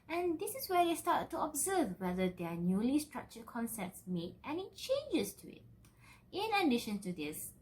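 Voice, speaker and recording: A woman's voice: sits high, rough recording. A young woman giving a scripted academic presentation as a student, in a formal manner with emphatic enunciation. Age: young adult.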